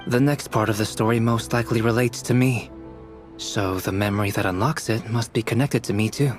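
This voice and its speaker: A man voicing a calm young alchemist in a video game, explaining his theories in a measured, analytical way. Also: lowish voice, faint music behind, natural voice.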